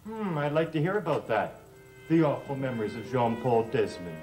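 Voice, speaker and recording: An actor playing an insolent young cousin in a 1960s television soap, sardonic and mock-polite. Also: male voice, mid-range voice, animated, normal speed, a little mellow, natural voice, poor audio. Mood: sly, mocking. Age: young adult. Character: sly, insolent young cousin and would-be heir. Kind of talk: 1960s TV soap opera acting. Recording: vintage TV soundtrack with background music.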